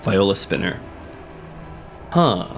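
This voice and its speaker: A man with a cold, hoarse and a little sniffly, chatting casually while playing a video game, thinking aloud and reading on-screen text. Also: sits low, big pitch swings, takes it slowly. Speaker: let's play host. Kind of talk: casual gameplay commentary. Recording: game music playing behind the voice.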